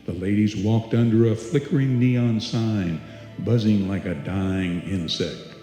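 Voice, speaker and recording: A man's voice: on the low side, unhurried, mellow, natural voice. A man narrating a scripted suspense radio play as its storyteller, performed live. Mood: measured, suspenseful. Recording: echoey hall, music behind, live stage.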